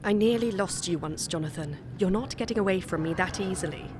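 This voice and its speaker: A woman's voice: medium pitch, a little fast, a little mellow, lightly acted. A woman playing a brave, composed young wife, polite and coaxing, in an audio drama. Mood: affectionate. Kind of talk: theatrical audio drama acting. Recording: clear background noise.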